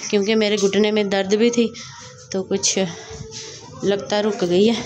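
A woman's voice: medium pitch, darkish tone, slight background noise. A woman, chattily narrating her household chores for a home vlog on a handheld phone. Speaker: vlogger. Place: small house courtyard and rooms, indoors and out. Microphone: phone held at arm's length.

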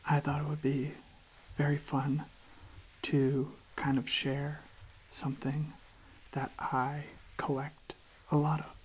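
A young adult ASMR host speaking in a soft, gentle whisper, halting and repeating words, close to the microphone. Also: mid-range voice, monotone, breathy edge, low-quality recording.

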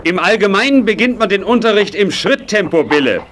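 An actor playing a firm, patient riding instructor in a 1980s children's audio drama, giving clear commands. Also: male voice, high voice, lively intonation, fairly quick, fairly bright tone, slight background noise. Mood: commanding.

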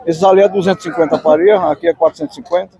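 A man's voice: fairly high pitch, racing, muffled recording. A middle-aged man, a cowboy chatting casually at an outdoor livestock market. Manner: relaxed, short agreeing replies. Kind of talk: casual chat. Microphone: handheld mic held by interviewer.

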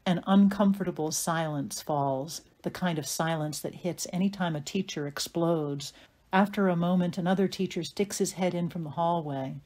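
A woman reading scripted narration and stage directions for an audio play in a measured, atmospheric way. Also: fairly low pitch, darkish tone.

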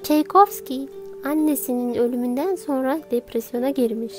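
A woman as a high-voiced cartoon schoolgirl. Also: moderate pace, soft background music, close to an everyday voice. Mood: informative. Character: schoolgirl pupil sharing facts with a classmate. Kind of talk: scripted educational dialogue.

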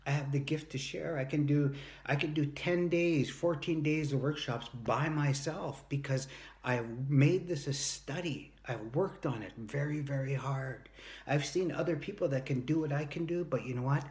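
A man's voice: fairly high pitch, moderate pace, darkish tone. A man in his early fifties giving an earnest, emphatic motivational talk, telling anecdotes straight to the camera. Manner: earnest, emphatic, anecdotal, acts out short quoted exchanges. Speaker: motivational speaker and workshop leader. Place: indoors, home room with sloped ceiling. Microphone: phone or device at arm's length.

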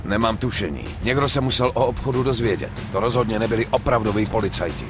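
A man's voice: on the low side, background noise, close to an everyday voice. A man playing a level-headed, persuasive gangster in a dubbed crime game, blunt and slangy. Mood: calm, persuasive. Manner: blunt, slangy, self-assured. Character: level-headed, persuasive male gangster running a drug deal. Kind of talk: dubbed video game acting.

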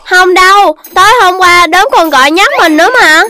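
A woman voicing an excitable young animal child in a children's cartoon, with an exclamatory, childlike manner. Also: very high voice, normal speed, background noise. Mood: matter-of-fact. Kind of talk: Vietnamese children's cartoon dubbing.

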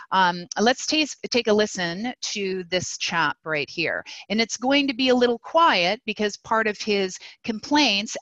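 A woman voice therapist presents in an online webinar, brisk and conversational. Her voice is mid-pitched and the audio is dry with no room sound. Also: fairly bright tone, dry recording, dull audio. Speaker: speech-language pathologist presenting. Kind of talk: webinar lecture. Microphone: video call.

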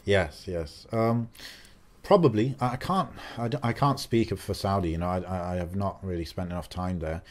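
A man's voice: low voice, moderate pace. A young man of Algerian background co-hosting a podcast, talking casually with lots of fillers and restarts. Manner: talkative, many fillers and restarts. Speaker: podcast co-host.

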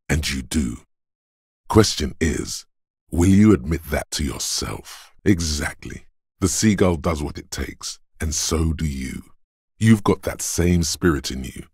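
An actor playing a grave, stern inner voice of endurance in a game, narrating bluntly and deliberately in a husky, gravelly tone. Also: male voice, very deep voice, no room sound, clean studio sound, heavily acted. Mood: somber. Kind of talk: scripted second-person game narration.

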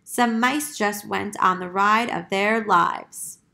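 A woman's voice: medium pitch, slow pace, gravelly, bright, forceful tone, exaggerated voice. A woman voicing a polite, earnest, helpful monkey in a children's storybook. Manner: courteous, earnest.

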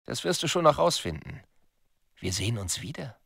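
An actor playing a taunting soldier villain in a video game, gloating in a gravelly, husky, drawn-out voice. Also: male voice, mid-range voice, very animated, fairly bright tone, no room sound, clean studio sound, exaggerated voice. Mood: smug menace. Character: sinister, taunting male soldier villain, smugly patient. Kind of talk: video game voice acting, German dub.

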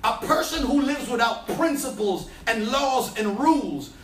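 High-voiced New York preacher, loud and rousing, heard in a roomy hall from a distance. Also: male voice, lively intonation, unhurried, a little hiss or hum. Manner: shouting, preaching with call-and-response. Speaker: pastor. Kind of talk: energetic sermon. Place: church stage, large room. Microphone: handheld mic. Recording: echoey hall from far off.

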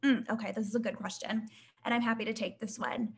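A woman's voice: a little high, fairly even intonation, fast, breathy edge. A young woman hosting a casual online Q&A webinar, upbeat and chatty with lots of fillers, heard through a laptop mic on a video call. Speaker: webinar host reading audience questions. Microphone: laptop webcam mic on a video call.

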